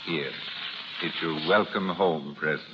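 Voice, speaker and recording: An actor playing a devoted, impatient wealthy suitor in 1930s radio drama style. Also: male voice, rumbling low, unhurried. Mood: excited, insistent. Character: devoted, impatient wealthy husband-to-be, tender and ardent. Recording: dull, noisy 1930s radio recording.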